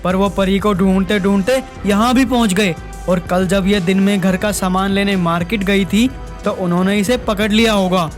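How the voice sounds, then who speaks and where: Guy playing earnest loving young husband in a cartoon story, high male voice, music behind. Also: fairly even intonation, moderate pace, natural voice. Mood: earnest, worried. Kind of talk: animated story voice acting. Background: background music.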